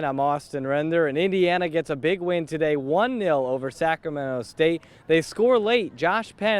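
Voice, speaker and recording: A young man hosting sports reports and interviews with deliberate, announcer-like phrasing. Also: fairly high pitch, slight background noise. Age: young adult.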